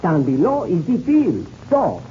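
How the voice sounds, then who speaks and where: Gallant French race pilot, 1930s radio adventure. French accent, broken English, lively swoops in pitch, muffled old recording. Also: male voice, unhurried, slight background noise. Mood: relieved, excited. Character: excitable, gracious French male air-race pilot. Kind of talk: old-time radio serial acting.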